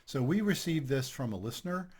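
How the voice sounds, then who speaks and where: An older man hosting a couples therapy podcast, talking in a relaxed, chatty way with light self-deprecating humor. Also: medium pitch, fairly quick, slightly rough. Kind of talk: casual podcast chat.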